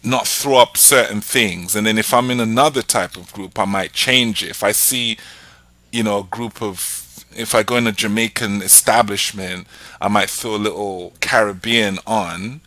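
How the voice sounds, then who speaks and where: A man's voice: lowish voice, moderate pace, dry recording, rough recording. A man with a London English accent hosting a casual podcast chat, telling stories animatedly. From England. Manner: switches accents playfully, animated storyteller. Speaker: podcast host.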